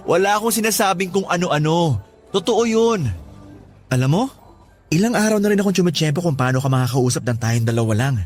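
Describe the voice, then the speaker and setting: A man's voice: high-pitched, animated, moderate pace, a little mellow, soft background music. A Filipino man playing a bold, playful young suitor in a radio romance drama, earnest and smitten. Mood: confident, teasing. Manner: playful, teasing, forward.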